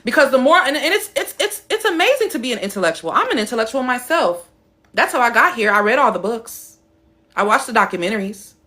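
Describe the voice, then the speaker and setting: A young woman hosting a casual live stream Q&A, blunt and emphatic, who swears freely, answers chat comments and plays with her voice, dropping low or going high. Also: sing-song, quick pace, sharp tone. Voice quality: playful, shifts her voice between high and deep.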